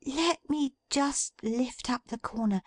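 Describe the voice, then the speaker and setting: A woman voicing a cheerful young woman who teases her father in a bright, eager, playful way. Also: high voice, takes it slowly, no room sound, pitched above the performer's own voice. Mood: gleeful.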